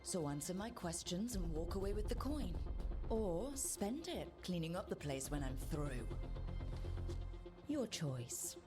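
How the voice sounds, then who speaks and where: A woman's voice: fairly low pitch, big pitch swings, measured pace. An actress playing a confident, persuasive warrior woman in a video game, speaking firmly. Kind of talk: video game cutscene dialogue. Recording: loud game music behind.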